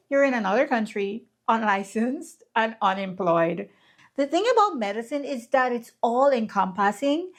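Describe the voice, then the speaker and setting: A woman in her thirties speaks straight to her viewers in a candid, reflective vlog. Her voice sits a little on the high side, and she talks in an earnest, personal way. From Guyana. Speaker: vlogger. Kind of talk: scripted reflective vlog narration.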